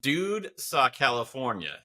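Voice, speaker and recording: A man's voice: medium pitch, big pitch swings, takes it slowly, pushed and bright. A man bantering casually as a podcast co-host, with a wry, playful and emphatic way of talking.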